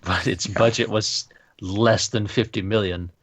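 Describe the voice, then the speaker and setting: A man's voice: fairly low pitch, steady tone, unhurried, slightly rough. A man co-hosting a podcast, talking casually in a discussion. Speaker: podcast co-host. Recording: dull lo-fi voice mic.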